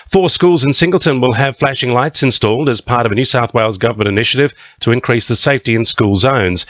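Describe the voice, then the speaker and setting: Low-voiced Australian male newsreader. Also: fairly bright tone, no room sound, dull audio. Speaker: news reader. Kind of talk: scripted radio news bulletin.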